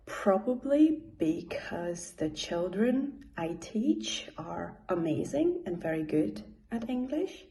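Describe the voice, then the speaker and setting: Polish-born woman speaking english, slow and hesitant, soft mellow tone. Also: lowish voice, poor audio. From Poland. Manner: slow, with hesitant pauses and fillers. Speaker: English teacher. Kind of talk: school interview, answering read-out questions.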